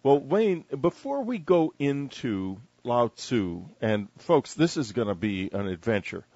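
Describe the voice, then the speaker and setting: An older man hosting an interview show, talking conversationally with deliberate, emphatic phrasing. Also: mid-range voice, big pitch swings, darkish tone, husky, dry recording, muffled recording. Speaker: radio show host interviewing a guest.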